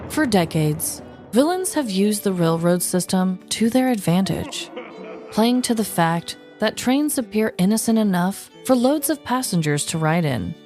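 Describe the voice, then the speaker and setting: A woman co-hosting a podcast with an expressive, dramatic way of talking, both bantering and telling stories. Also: on the low side. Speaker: podcast co-host. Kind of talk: casual banter and scripted comic storytelling.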